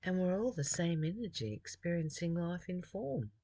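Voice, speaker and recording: A speaker from Australia reading a spiritual text aloud and adding personal reflections in a deliberate, earnest manner. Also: mid-range voice, animated, warm tone, no echo.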